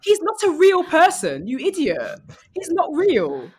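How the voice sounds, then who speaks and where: A woman comedian chatting casually as a podcast guest, riffing in a rambling, animated comic way. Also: very high-pitched, unhurried. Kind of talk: casual comic panel chat.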